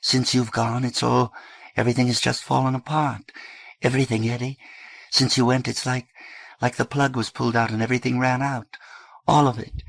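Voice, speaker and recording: A man voicing a worried teenage girl who speaks in halting, pleading fragments. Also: mid-range voice, level tone, gravelly, pitched above the performer's own voice.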